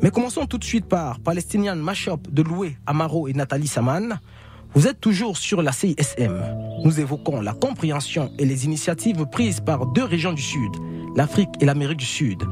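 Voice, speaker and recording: A fairly high-pitched man hosts a radio show from a studio, measured and often pausing with small hesitations. Music plays clearly under his voice. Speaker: radio host. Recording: radio broadcast with music behind.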